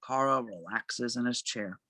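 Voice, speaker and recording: A man's voice: medium pitch, takes it slowly, bright, forceful tone, no room sound. A man narrating stage directions from a script at an amateur table read.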